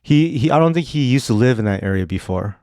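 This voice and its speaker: A man hosting a podcast, talking in a relaxed, chatty way that is full of "like" and "you know". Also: mid-range voice, fast, dry recording, studio quality. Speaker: podcast host. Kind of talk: casual chat. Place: podcast studio.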